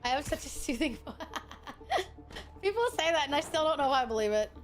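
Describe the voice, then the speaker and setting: Excitable woman streamer, lively pitch. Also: fairly quick, faint music behind. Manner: excitable, swears freely, whoops. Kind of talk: casual gameplay commentary.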